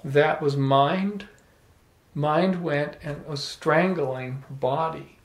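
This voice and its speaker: A middle-aged man telling an animated, playful story to a small audience, holding a handheld microphone. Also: a little high, extremely slow. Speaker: salon speaker. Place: room with small live audience. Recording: echoey room.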